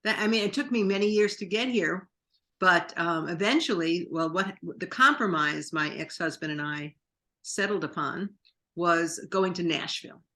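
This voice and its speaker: A woman's voice: on the low side, normal speed, pushed and bright. A woman from New York, a guest in an interview, telling long rambling anecdotes full of quoted dialogue. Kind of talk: interview storytelling.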